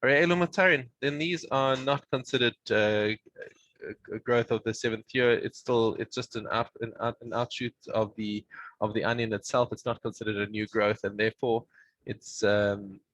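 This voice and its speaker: A man teaching a Mishnah study session over a video call, explaining in a halting way with many restarts. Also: on the low side, fairly even intonation, fairly bright tone, dry recording, lo-fi sound.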